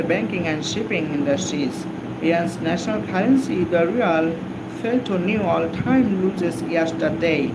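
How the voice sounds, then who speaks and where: Higher-voiced Bangladeshi man over heavy noise. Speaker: live stream host. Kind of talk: reading news aloud and greeting live chat viewers. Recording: very noisy, steady background hum.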